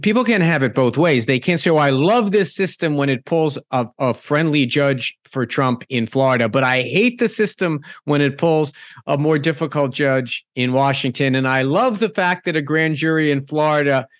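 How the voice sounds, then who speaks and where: A man hosting a podcast interview, talking in a halting way with many restarts and repeated words. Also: on the high side, no room sound, studio quality. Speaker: podcast host, former politician. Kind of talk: conversational interview.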